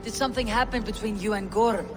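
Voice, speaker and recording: A woman's voice: medium pitch, fast, lightly acted. Woman playing a curt, stern Viking warrior woman. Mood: stern, measured. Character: stern, resolute female Viking warrior, young adult. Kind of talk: video game cutscene acting.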